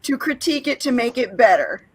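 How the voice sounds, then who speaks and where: Animated female host, high and very lively, husky, dry close sound. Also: brisk. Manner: animated, candid. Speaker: podcast host, author. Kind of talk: casual chat.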